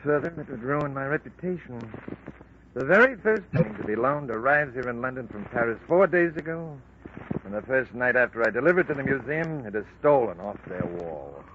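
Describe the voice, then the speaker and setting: Brief: man as a fussy, proud art patron, formal and wordy, quick talker, hissy old radio tape. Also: medium pitch, very animated, natural voice. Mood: earnest. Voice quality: formal, long-winded. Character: earnest middle-aged male art patron, fussy and proud. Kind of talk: 1940s radio acting. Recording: lo-fi old radio recording with hiss.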